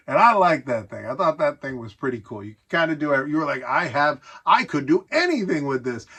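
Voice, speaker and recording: A man's voice: mid-range voice, fairly bright tone, slightly rough. A middle-aged man with an American accent giving a casual, nostalgic show-and-tell, rambling along conversationally and with enthusiasm. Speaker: presenter showing a collection of vintage gadgets.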